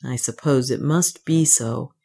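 A woman voicing a troubled, protective male adventurer in a storybook reading, speaking low and hoarsely. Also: a little slow, dark tone, heavily acted.

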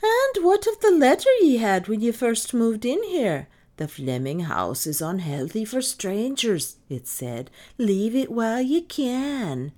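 A woman's voice: a little high, very animated, a little slow, hardly any pauses, darkish tone, voice pushed up. A woman voicing a superstitious, gloomy, stubborn old Irish maidservant with a dramatic, insistent delivery in an audiobook. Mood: pleading, mournful. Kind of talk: audiobook character dialogue.

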